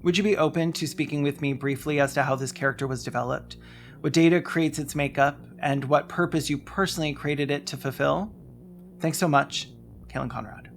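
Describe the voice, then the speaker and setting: A narrator reading a scripted video essay in a measured way, including emails read aloud. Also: medium pitch, creaky, slight background noise.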